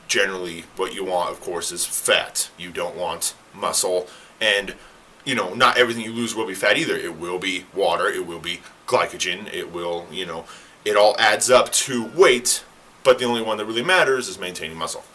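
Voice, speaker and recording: A man who is a fitness coach, talking casually to the camera in a rambling, self-correcting way. He sounds slightly hoarse. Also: low-pitched, moderate pace, sharp tone, a little hiss or hum. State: slightly hoarse, clearing throat. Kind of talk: casual explainer talk to camera.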